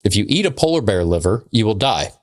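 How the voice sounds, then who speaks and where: A man's voice: lowish voice, very animated, quick pace. A man co-hosting a podcast, reading out trivia and cracking jokes in a dry, casual way. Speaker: podcast co-host.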